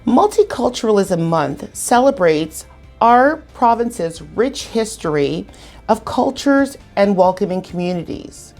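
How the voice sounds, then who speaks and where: A woman hosting a virtual community event, reading a measured scripted introduction. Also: medium pitch, big pitch swings, darkish tone, soft background music. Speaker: event host.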